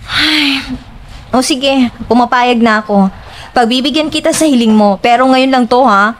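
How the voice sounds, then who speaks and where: Isang babaeng gumaganap bilang maawain at mapagpasensyang batang kaibigan, may puntong Pilipino, sa isang audio drama. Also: female voice, fairly high pitch, normal speed, slight background noise, lightly acted. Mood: concerned, relenting. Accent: Filipino. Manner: sympathetic, coaxing. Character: soft-hearted young woman, sympathetic friend and flatmate. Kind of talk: Tagalog audio horror drama acting.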